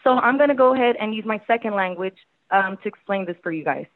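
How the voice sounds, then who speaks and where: A woman's voice: mid-range voice, lively intonation, fast talker. A woman from Houston, a native Spanish speaker, making an impassioned public comment over the phone and pressing with questions. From Houston, Texas. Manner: urgent, pressing rhetorical questions. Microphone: phone call. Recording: tinny phone line.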